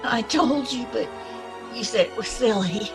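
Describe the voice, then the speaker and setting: Grieving young sister for radio play, female actor: high, creaky, slow, tender and hesitant. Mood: wistful, tender. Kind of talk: old-time radio play acting. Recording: home video-call recording with music.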